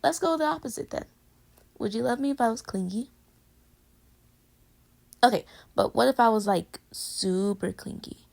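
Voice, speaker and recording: A woman's voice: on the high side, expressive, moderate pace, creaky, pauses often, darkish tone. A woman playing a playful, affectionate girlfriend in a casual, intimate pillow-talk roleplay, teasing her partner. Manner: casual, teasing, conversational with giggles. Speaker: audio roleplay voice actor.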